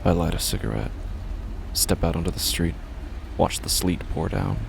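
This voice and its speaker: An actor playing a weary, confused man who clings to rigid rules, narrating a horror monologue in a halting, repetitive, gravelly voice. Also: sits low, lively intonation, noisy background, exaggerated voice. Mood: uncertain, brooding. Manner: hesitant, halting, brooding, repeats himself. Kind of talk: first-person horror audio drama monologue.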